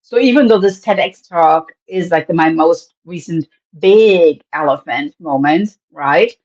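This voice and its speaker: German-accented woman, animated and expressive. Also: measured pace, lo-fi sound. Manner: animated, laughs often, big pitch swings. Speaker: guest speaker. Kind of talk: casual interview talk.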